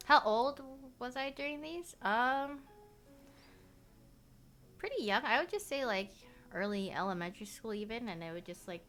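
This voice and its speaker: A woman's voice: a little high, lively intonation, moderate pace, a bit bright, faint music behind. A young woman whose first language is Korean, livestreaming casual chat with viewers. She rambles, fills her talk with "like," and laughs often.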